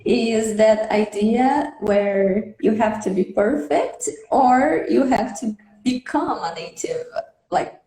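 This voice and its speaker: A woman's voice: on the high side, slow, mellow. A woman in her thirties from Brazil, a native Portuguese speaker, chatting earnestly as a guest on a video call. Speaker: guest, English teacher. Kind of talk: casual interview chat. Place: home room with patterned wall. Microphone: webcam on video call. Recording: echoey room on video call.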